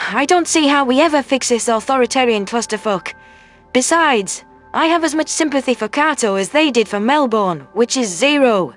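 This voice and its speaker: Man voicing a snide high-pitched woman soldier. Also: fairly bright tone. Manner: snide, blunt. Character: cynical, scornful, foul-mouthed human woman soldier.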